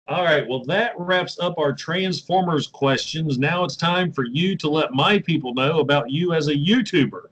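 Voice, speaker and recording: A man's voice: on the high side, measured pace, a bit bright, rough recording. A man from West Virginia with a West Virginia accent, hosting a casual interview in a laid-back, folksy way and laughing easily. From West Virginia, USA. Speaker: YouTube show host.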